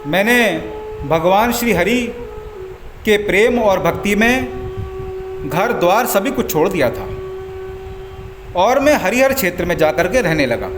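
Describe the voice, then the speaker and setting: A man voicing a serene, humble enlightened sage in a devotional story, teaching in a solemn, deliberate manner. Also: high-pitched, background noise. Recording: echoey voice over music.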